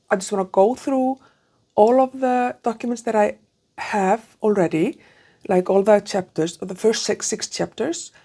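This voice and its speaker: A middle-aged woman, an English speaker, chatting casually to camera as a vlogger and thinking aloud in a halting, self-correcting way. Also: mid-range voice, warm tone. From Iceland. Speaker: PhD student vlogger. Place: small room with curtains, indoors. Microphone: clip-on lapel mic.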